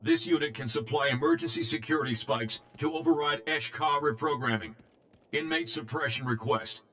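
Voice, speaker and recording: Guy doing a warden robot from a video game, formal n clipped, emotionless, bright sharp voice, bit of noise behind it. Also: fairly low pitch, moderate pace, lightly acted. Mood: flat, official. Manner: formal, monotone, terse machine phrasing. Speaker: prison warden droid.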